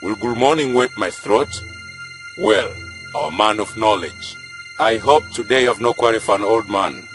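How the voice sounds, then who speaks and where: A man's voice: lowish voice, lively intonation, moderate pace. A man playing a proud, sly village chief in his sixties in a student stage drama, with a pompous, teasing delivery. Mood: teasing, lordly. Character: proud sly old village chief, aged sixty-two. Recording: loud music under the voice.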